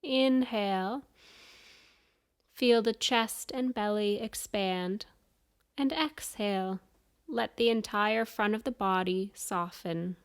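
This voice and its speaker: A woman guiding a yoga nidra meditation, giving soothing, measured instructions with pauses between phrases. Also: mid-range voice, clear, resonant tone. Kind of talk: guided meditation.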